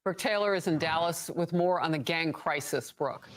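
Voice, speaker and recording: A woman news anchor reading scripted news in a crisp, measured way. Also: low-pitched, slight background noise.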